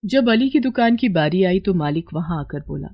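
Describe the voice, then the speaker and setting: Lowish-voiced woman storyteller. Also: expressive, normal speed, lo-fi sound. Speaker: storyteller, narrator. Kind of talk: scripted moral story narration.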